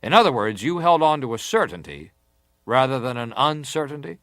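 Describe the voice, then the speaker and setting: An actor playing a formal, persistent senator who questions a witness deliberately in a dramatised hearing. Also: male voice, mid-range voice, big pitch swings, a bit bright, no echo, studio quality. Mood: insistent, stern. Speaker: interrogator at a hearing. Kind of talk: dramatised reading of hearing testimony.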